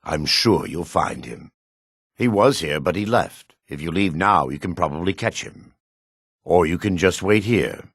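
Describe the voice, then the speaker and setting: Husky, low-voiced proud dark elf. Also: male voice, expressive, unhurried, no room sound, full character voice. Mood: guarded. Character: proud, hard-edged male dark elf. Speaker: video game voice actor. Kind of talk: fantasy game character lines.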